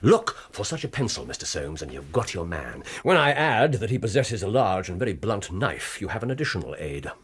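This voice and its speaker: An actor playing a keen, commanding Victorian English gentleman detective in a radio drama, precise and theatrical in his delivery. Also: fairly low pitch, very animated, brisk, hardly any pauses, breathy edge. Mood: brisk deduction. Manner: theatrical, precise, swinging from exclamation to murmur. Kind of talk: BBC radio drama acting.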